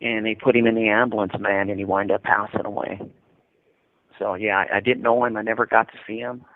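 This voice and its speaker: A man's voice: on the low side, level tone, a little fast. An American man in his fifties speaks over a thin, narrow telephone line. He tells his experiences in a conversational, explanatory way, often circling back to repeat a point. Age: 50s. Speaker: incarcerated interviewee calling from prison. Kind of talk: casual phone interview, recounting experiences. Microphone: prison telephone.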